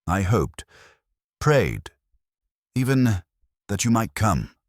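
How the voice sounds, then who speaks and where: A man voicing a frail, anxious, theatrical stage director in an audiobook, halting and dramatic. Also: on the low side, sing-song, dry recording, studio quality, voice pushed up. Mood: fervent, anxious. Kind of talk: audiobook character dialogue.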